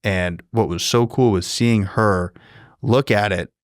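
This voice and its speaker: A man's voice: fairly low pitch, slow, dry recording, clean studio sound. An American man chatting casually as a podcast co-host, telling stories in profane, laid-back bro slang. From raised in a household of Arabic grandparents and cousins, half Vietnamese. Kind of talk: casual chat, storytelling.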